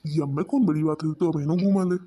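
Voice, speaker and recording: A man's voice: on the high side, quick pace, warm tone, slightly rough. A man voicing a stubborn, scheming village husband in a comedy cartoon, grumbling and explaining himself in an Awadhi accent. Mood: irritated.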